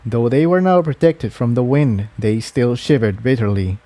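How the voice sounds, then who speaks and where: Mellow-voiced man narrating a story. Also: mid-range voice, moderate pace, no room sound. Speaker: narrator, audiobook reader.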